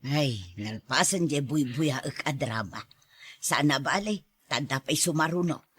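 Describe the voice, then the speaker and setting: A woman's voice: low voice, expressive, a little slow, a bit bright, husky, exaggerated voice. A woman with a Filipino accent playing a brusque older auntie minding a child in a radio drama. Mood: brusque, offhand.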